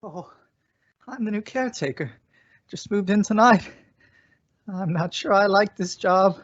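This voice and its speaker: A woman's voice: medium pitch, measured pace, no echo, natural voice. A young woman playing a timid, folksy caretaker with hesitant rustic speech in an old-time radio comedy. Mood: nervous. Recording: dull, dry recording.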